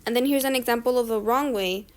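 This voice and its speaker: A young woman with a fairly high voice walks through grammar rules on her slides. She explains each example step by step, stopping often to correct her own slips. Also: fast talker, darkish tone. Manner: talks through slides, frequent self-corrections. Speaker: tutor. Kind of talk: screen-recorded grammar lesson.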